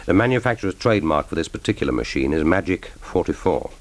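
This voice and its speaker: A cool British secret agent in a radio drama. The voice is deep, and the delivery is terse, formal and composed, as befits a naval commander. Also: male voice, very animated, fast talker. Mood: cool, reserved.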